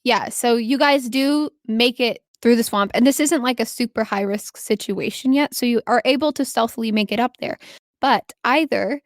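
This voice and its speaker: A woman's voice: fairly high pitch, moderate pace, no echo, clean studio sound. A woman acting as game master, narrating a tabletop role-playing game in a casual, improvised way and encouraging the players. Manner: encouraging, affirming players with short praise.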